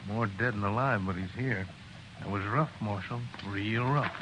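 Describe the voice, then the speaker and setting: An actor playing a tough, laconic frontier jailer in a 1950s radio western, talking in a folksy way. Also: male voice, lowish voice, moderate pace, close to an everyday voice. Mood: grave. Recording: very noisy old radio recording.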